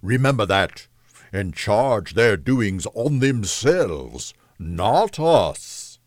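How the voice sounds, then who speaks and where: A man voicing a gigantic, solemn male spirit in a storybook, grave and admonishing in slow phrases. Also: on the low side, very animated, no room sound, studio quality, exaggerated voice. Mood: stern reproach. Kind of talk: storybook reading of a Victorian tale.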